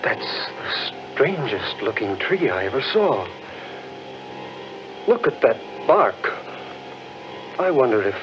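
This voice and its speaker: A male actor playing an excitable gentleman quick to panic, with theatrical, stammering delivery in 1940s radio horror style. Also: mid-range voice, over music. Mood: curious. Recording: muffled, hissy 1940s radio recording.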